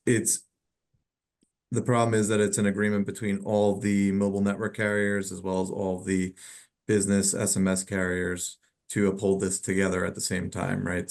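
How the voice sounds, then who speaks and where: A man answering questions as a telecom expert on a webinar, explaining informally and haltingly, often repeating words. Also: sits low, vocal fry, a little mellow.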